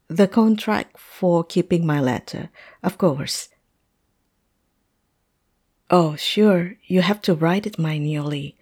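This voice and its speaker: A woman acting a hesitant, polite, heartbroken client in a fantasy roleplay audio drama, speaking haltingly. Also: fairly low pitch, dark tone. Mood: polite, subdued.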